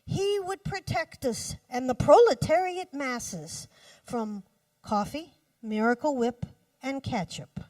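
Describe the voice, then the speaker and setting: A woman poet reads her work aloud at a live reading in a fairly high voice. Her delivery is slow and deliberate, with measured pauses between phrases. She is picked up from a little distance from the microphone. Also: expressive, a little mellow, no echo. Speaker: poet reading own poems. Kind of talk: live poetry reading with brief spoken introductions. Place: cafe poetry reading.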